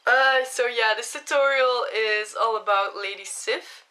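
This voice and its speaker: A young woman talks through a makeup tutorial in a chatty way, her voice hoarse from a cold. Her tone is bright and forward, and she takes her time, speaking slowly and explaining each step plainly. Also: on the high side.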